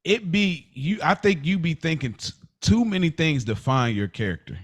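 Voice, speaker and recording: A man's voice: on the high side, animated, normal speed, breathy edge. A man co-hosting a podcast in casual, slangy chat, often acting out quotes to explain himself. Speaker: podcast co-host.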